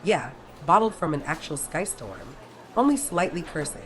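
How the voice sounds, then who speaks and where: A woman's voice: sits low, very animated, normal speed, fairly bright tone. A woman playing an easygoing, playful pirate drink-maker in an audio drama, casual and breezy, with faint noise behind.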